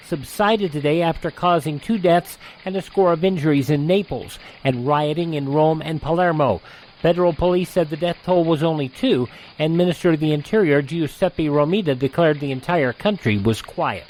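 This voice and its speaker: A man's voice: fairly high pitch, a little mellow, slight background noise, rough recording. A man reading scripted news items with datelines as a radio host.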